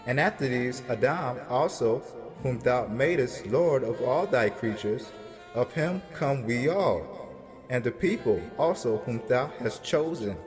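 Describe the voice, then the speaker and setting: A man narrating scripture as a drama, in a solemn, deliberate, declamatory manner. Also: mid-range voice, lively intonation, a little mellow. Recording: long reverb with music behind.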